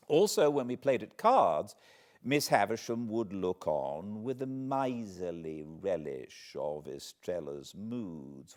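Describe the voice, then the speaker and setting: A man, reading a classic novel aloud in measured, expressive storytelling. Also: low voice. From Britain. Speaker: audiobook narrator. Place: cozy home spot beside a fireplace.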